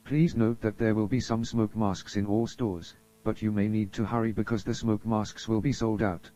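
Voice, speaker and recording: Low, dark-voiced man, formal emergency announcer. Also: little pitch movement, moderate pace. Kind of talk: scripted emergency broadcast narration. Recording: dull lo-fi audio.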